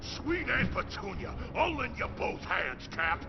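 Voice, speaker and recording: Gravelly boisterous monster hero. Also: male voice, sits high, sing-song, unhurried, exaggerated voice. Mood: eager, boisterous. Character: gruff, folksy, brawling male rock-monster superhero. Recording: muffled game audio under loud music.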